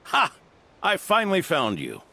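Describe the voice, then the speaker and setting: A man's voice: on the high side, wildly swooping pitch, measured pace, creaky, noisy background, exaggerated voice. An actor playing an elderly, kindly knight in a video game, fatherly and reassuring. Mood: warm, gently chiding. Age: older. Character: kindly, brave elderly male knight, gentle grandfather.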